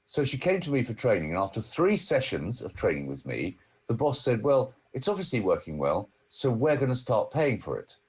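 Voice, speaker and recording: A man, a speaking coach, telling a story in casual conversation on a podcast, in an emphatic, animated way. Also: mid-range voice, normal speed, hardly any pauses, slightly rough, dull audio.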